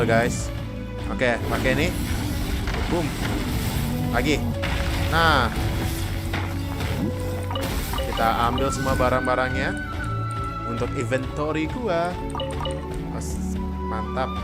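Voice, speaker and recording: Indonesian man casually streaming over loud game music. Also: mid-range voice. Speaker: game livestreamer. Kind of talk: casual live gameplay commentary with slang. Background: game music and sound.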